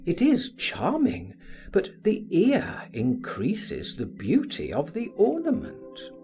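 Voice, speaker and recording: Young gallant played by a male reader: ardent, courteous, lifted swooping pitch, classic-novel audiobook dialogue. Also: wildly swooping pitch, slow, a little mellow, faint music behind, full character voice. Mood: nervous gallantry. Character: eager, gallant young man flattering society ladies.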